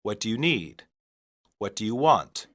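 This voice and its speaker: A man reading scripted English practice phrases for learners, deliberately and in separated phrases. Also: lowish voice, very animated, a bit bright. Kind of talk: scripted language-lesson reading.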